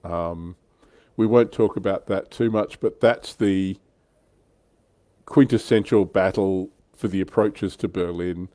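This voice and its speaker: Low-pitched, mellow man explaining casually. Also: steady tone, slow pace, no echo. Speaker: livestream host. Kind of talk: casual explanatory history chat.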